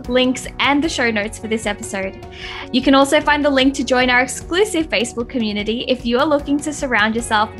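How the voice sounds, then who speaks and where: A woman's voice: a little high, fairly bright tone. A woman podcast host reading a polished, upbeat scripted intro and outro. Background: faint music.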